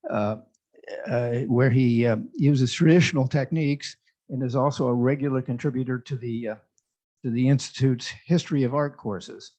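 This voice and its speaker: A man introducing a guest lecturer, halting and full of fillers. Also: medium pitch, fairly even intonation, dark tone. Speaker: host introducing the speaker. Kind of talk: speaker introduction. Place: lecture room. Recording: dull lo-fi sound.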